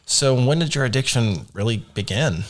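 A man's voice: mid-range voice, animated, moderate pace. A man hosting a studio podcast interview, friendly and affirming, laughing easily as he asks about his guest's story. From born in Dallas. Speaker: podcast host. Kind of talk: casual interview.

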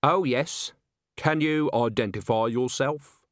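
A man's voice: medium pitch, takes it slowly, fairly bright tone, dry recording, studio quality. A man voicing a snooty, irritable male publisher, brusque and dismissive, as an audiobook character.

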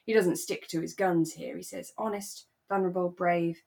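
A woman co-hosting a podcast, talking thoughtfully and in a relaxed way about a radio drama, repeating phrases for emphasis. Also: lowish voice, normal speed, gravelly. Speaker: podcast co-host.

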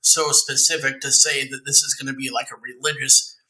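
Guy hosting a podcast, really bright punchy voice, chatty, careful to clarify stuff. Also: medium pitch, level tone, a little fast, low-quality recording. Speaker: podcast host interviewing a guest. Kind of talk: conversational interview.

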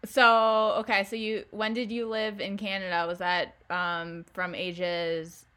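A woman's voice: medium pitch, flat delivery, takes it slowly, bright, forceful tone, clear, resonant tone. A woman hosting a casual podcast interview, chatty and playful, laughing between her questions. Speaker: podcast host.

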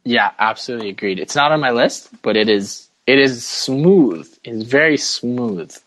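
A man's voice: mid-range voice, animated, normal speed, fairly bright tone, dry recording. A man hosting a podcast with casual sports banter and a playful, teasing manner. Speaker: podcast host.

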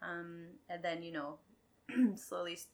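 A woman's voice: fairly low pitch, flat delivery, a little slow. A young adult woman from Quebec, a podcast guest, talking candidly and casually about her past, laughing often and swearing now and then. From Quebec, Canada. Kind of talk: casual conversational storytelling.

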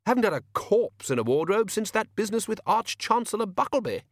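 A male narrator performs a blustering older wizard in a storybook comedy. He is pompous and hearty, exclaiming in big swings of pitch. The sound is dry and close. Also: very animated, fairly quick, no echo. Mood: dismissive. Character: blustering, self-assured older male wizard, head of college. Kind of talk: audiobook character acting.

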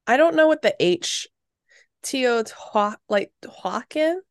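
A woman's voice: a little high, lively intonation, slow pace, dry recording, studio quality. A woman co-hosting a podcast, chatting and reacting casually in a joking, slangy, informal way. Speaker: podcast co-host.

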